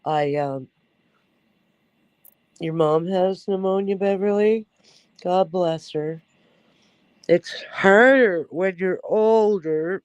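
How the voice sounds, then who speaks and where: Stuffed-up woman talking slowly. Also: on the low side, smooth, no room sound, lo-fi sound. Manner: hesitant, halting with fillers. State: congested, stuffed-up nose. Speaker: livestream host. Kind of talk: casual livestream chat.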